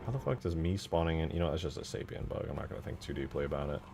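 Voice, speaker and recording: A man's voice: extremely low pitch, lively intonation, very fast, gravelly, warm tone, a little hiss or hum. A man giving casual live commentary as he works on game mods, thinking aloud and asking himself questions in an informal way. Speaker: streamer modding a game.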